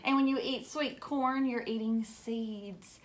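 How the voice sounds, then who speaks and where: A woman's voice: a little high, fairly even intonation, takes it slowly. A woman teacher reading a children's book aloud, adding simple, encouraging explanations in short phrases and putting questions to young listeners.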